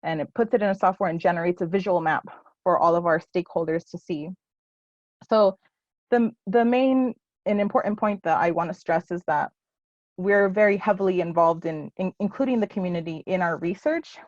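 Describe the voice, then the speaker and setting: A woman with a lowish voice presents her project and fields questions, pausing often on ums and restarting her sentences. The sound is dry and close, with the dull, muffled quality of a video call. She speaks in a casual, unpolished way. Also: a little mellow, no echo. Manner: hesitant, many ums and restarts. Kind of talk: casual presentation at a virtual symposium.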